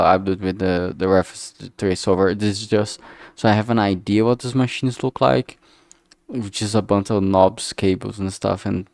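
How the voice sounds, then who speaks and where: A man talking casually on a work-along livestream, rambling and halting as he thinks aloud. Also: fairly low pitch, gravelly, darkish tone, dry recording, studio quality. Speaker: livestreamer making a visual novel.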